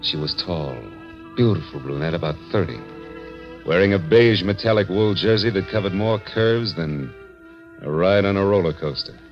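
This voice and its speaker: Hard-boiled American private eye , male actor, very low register, tough sardonic 1940s radio style, dulled vintage sound with music bed. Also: normal speed, natural voice. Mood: wry narrating. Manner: clipped, sardonic delivery. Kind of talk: 1940s radio acting, first-person noir narration. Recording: muffled old radio recording with music.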